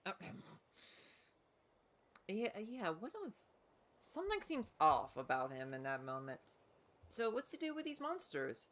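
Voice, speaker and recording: A woman's voice: medium pitch, wildly swooping pitch, measured pace, pauses often, a bit bright. A woman game streamer giving casual live commentary, thinking aloud with some hesitation.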